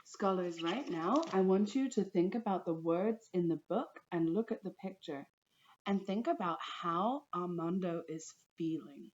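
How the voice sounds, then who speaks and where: Slow, deliberate woman teacher reading aloud. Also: on the low side, darkish tone, muffled recording. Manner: deliberate storytelling, addresses pupils directly. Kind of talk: children's storybook read-aloud.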